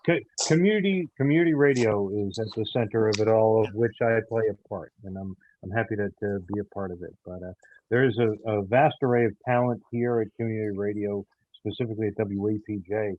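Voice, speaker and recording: A man answering as an interview guest, haltingly, often repeating words as he searches for phrasing. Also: on the low side, no echo. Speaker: audio drama writer, interview guest. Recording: tinny phone-like call audio.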